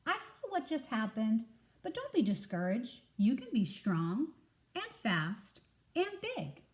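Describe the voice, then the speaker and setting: A woman's voice: fairly high pitch, huge pitch swings, slow pace, full character voice. A woman voicing an encouraging, upbeat male goat coach in a children's picture book, with an instructive manner. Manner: bright-spirited, instructive, encouraging.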